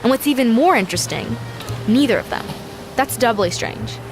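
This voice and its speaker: A woman's voice: on the high side, lively intonation, moderate pace, music in the background. A woman playing a chatty, curious space traveler in a sci-fi comedy audio drama, hesitant and given to theatrical exclamations. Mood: conspiratorial.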